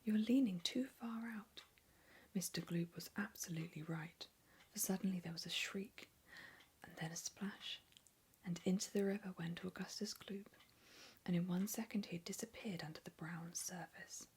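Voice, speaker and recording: A woman reading a story aloud in a soft whisper. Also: on the low side, steady tone, normal speed, breathy edge.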